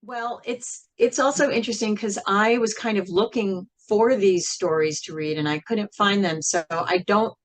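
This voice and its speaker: A woman talking reflectively as an interview guest, thoughtful and measured, with frequent pauses. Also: medium pitch. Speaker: interview guest, singer and writer.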